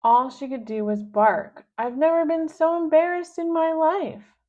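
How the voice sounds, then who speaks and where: A woman's voice: sits high, fairly even intonation, a little slow, pitched above the performer's own voice. A woman doing an exasperated scolding mom. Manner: stern, scolding. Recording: dull lo-fi recording.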